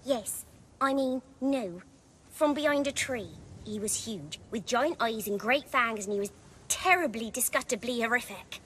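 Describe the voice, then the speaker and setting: A woman's voice: a little high, animated, a little slow, a bit bright, slight background noise. A small, innocent peasant girl in a fantasy game. She talks in naive, earnest, rustic phrases, with faint ambience behind. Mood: scared, earnest.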